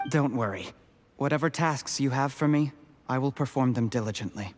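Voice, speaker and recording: A man's voice: medium pitch, normal speed, soft background music, close to an everyday voice. Man playing a timid young schemer. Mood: nervous.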